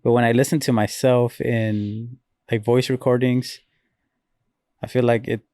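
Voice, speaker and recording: A man's voice: mid-range voice, little pitch movement, a little slow, a little mellow, dry recording. A man co-hosting a podcast, talking in a laid-back way with short replies on a close mic. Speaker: podcast co-host. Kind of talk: casual chat.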